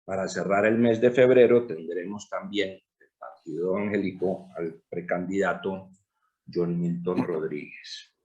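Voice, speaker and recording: A man's voice: deep voice, a little slow, darkish tone, dull audio. A man with a Colombian Spanish accent hosting an online talk, formally introducing a guest over a video call. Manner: formal, announcer-like. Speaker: host.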